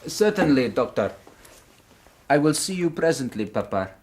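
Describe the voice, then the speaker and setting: An actor in a radio drama playing a young Russian count's son, formal, deferential and measured. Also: male voice, medium pitch, sing-song, darkish tone, natural voice. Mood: polite, dutiful. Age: young adult. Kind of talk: period radio drama acting.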